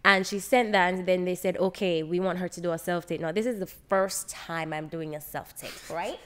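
A woman's voice: on the low side, normal speed, smooth. A young woman with a Jamaican accent, telling stories casually and expressively as a podcast guest, acting out dialogue as she goes. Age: young adult. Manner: expressive, acts out quoted dialogue. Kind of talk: animated casual storytelling. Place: bright loft living room. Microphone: podcast microphone on boom arm.

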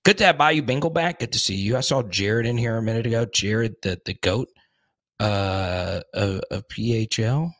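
A man's voice: fairly low pitch, moderate pace. Chatty man hosting a live stream. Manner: animated, repeats words, reads chat aloud. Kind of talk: casual live stream chat. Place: small room indoors. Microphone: studio mic on boom arm in front.

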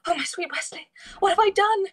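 A woman's voice: very high-pitched, a little fast. A woman playing a proud, passionate young noblewoman in a screenplay table read. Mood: lovestruck, dramatic.

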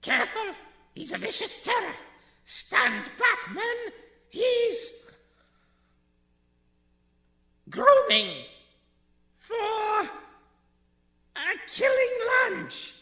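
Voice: extremely high pitch, big pitch swings, extremely slow, vocal fry, long pauses, sharp tone, echoey room, full character voice. An actor playing a melodramatic, menacing alien warrior chief in a radio drama, declaiming pompously. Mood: dire warning.

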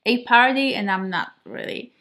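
A Polish-born woman in her thirties, a native Polish speaker, chatting to the camera at home. She talks in a relaxed, reflective way and laughs easily. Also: fairly high pitch, normal speed, gravelly. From Poland. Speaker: vlogger. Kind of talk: casual talk to camera. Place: home kitchen and living room, indoors.

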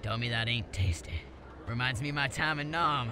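A man's voice: mid-range voice, expressive, moderate pace, sharp tone, slight background noise. A man voicing a gung-ho, bloodthirsty young volleyball player in a theatrical, intense cartoon parody style. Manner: intense, theatrical, menacingly cheerful.

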